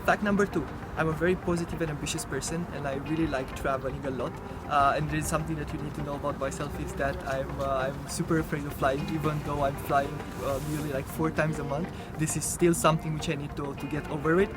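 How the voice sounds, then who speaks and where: A man in his twenties from Romania with a Romanian accent, presenting himself earnestly and haltingly in a semi-prepared video. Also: a little high, little pitch movement. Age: 20s. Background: loud music.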